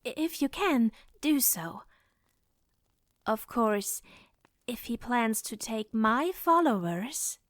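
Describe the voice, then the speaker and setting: A woman's voice: on the high side, lively intonation, no room sound, studio quality. A woman playing a composed, protective goddess in a fantasy audio drama, formal and resolute. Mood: guarded. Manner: formal, measured.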